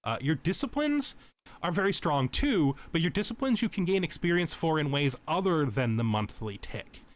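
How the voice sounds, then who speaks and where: A man's voice: on the high side, sing-song, moderate pace. A man giving casual let's play tutorial commentary, explaining game mechanics and thinking aloud as he goes.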